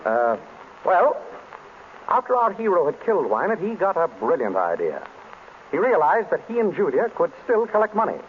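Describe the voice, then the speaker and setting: Suave, lively detective on tinny old radio. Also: male voice, high voice, normal speed. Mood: measured, accusing. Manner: breezy, urbane, in command. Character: suave, wry male detective hosting a dinner of suspects. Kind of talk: old-time radio drama acting. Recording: noisy, tinny vintage radio broadcast.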